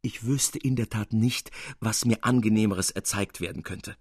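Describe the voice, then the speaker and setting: Man acting mischievous painter, honeyed courtesy, bold bargainer, slightly husky, dry close sound, storybook. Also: lowish voice, moderate pace, no echo. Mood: mock flattering.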